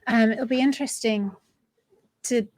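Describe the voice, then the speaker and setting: A woman's voice: fairly high pitch, steady tone, a little slow, a little mellow. A woman hosting a developer livestream, chatting in a playful, bubbly way. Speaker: host. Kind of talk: casual chat on a livestream.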